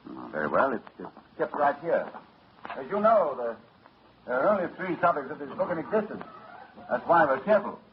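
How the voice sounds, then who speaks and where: A scholarly doctor narrating. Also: male voice, on the high side, big pitch swings, a little fast, background noise. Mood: wary. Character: learned male librarian-doctor narrating his ordeal. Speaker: narrator and lead in a radio play. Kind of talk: 1940s radio acting. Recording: dull old radio recording with music score.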